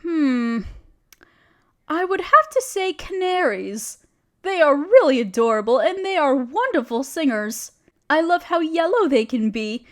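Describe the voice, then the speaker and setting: A woman's voice: high voice, very animated, a little slow. A woman playing a friendly, genteel witch who chats kindly in quaint, dainty phrases, in an audio roleplay. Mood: friendly, chatty. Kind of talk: scripted audio roleplay speaking to the listener.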